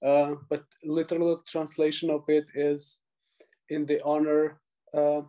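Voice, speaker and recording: A man, speaking over a video call from a small room, earnest and halting with frequent pauses. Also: fairly high pitch, completely flat delivery, dark tone. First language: Albanian. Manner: earnest, many pauses and restarts. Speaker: tribute speaker. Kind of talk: halting prepared remarks.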